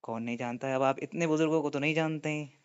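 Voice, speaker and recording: A man's voice: steady tone, fast talker, clean tone. Insistent man questioning over phone. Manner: probing, insistent, echoes the other's words. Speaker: challenger in a religious debate. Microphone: phone call. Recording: muffled low-fi phone call recording.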